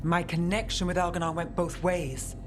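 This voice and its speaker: A woman's voice: on the low side, level tone, normal speed, music in the background, close to an everyday voice. An actress playing a weary, determined woman mage in a video game, with a restrained, dry delivery. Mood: somber, resolute. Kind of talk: dramatic video game cutscene acting.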